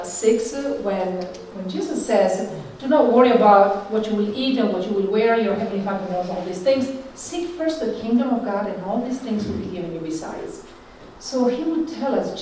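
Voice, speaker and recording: A middle-aged religious sister with an Italian accent gives an informal, conversational talk to a group. Her voice sits at an ordinary pitch for a woman. It is picked up from a distance in a very echoey room, with faint noise behind her. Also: warm tone. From Northern Italy.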